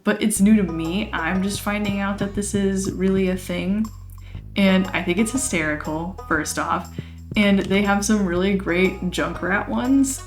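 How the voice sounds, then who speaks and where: A chatty woman, somewhat hoarse from losing her voice, rambling through casual gameplay commentary with frequent laughs. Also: medium pitch. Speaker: let's play host. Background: game music.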